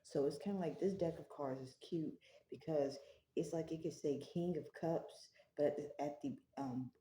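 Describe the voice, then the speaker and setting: A woman tarot reader with a low voice, talking slowly and softly as she prays and reads cards at home. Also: roomy sound. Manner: slow, prayerful, soft-spoken. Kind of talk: spiritual tarot reading. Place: home, early morning.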